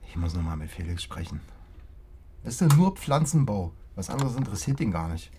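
Radio drama casting: sceptical slangy male villager, very lively intonation, faint noise behind. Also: low-pitched, fairly quick. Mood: offhand, grumbling. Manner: slangy, offhand. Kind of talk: naturalistic radio drama dialogue.

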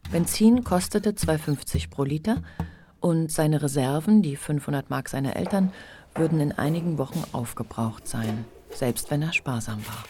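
A woman reading literary narration for a German radio drama in a measured, deliberate way. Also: deep voice, mellow, slight background noise. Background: music.

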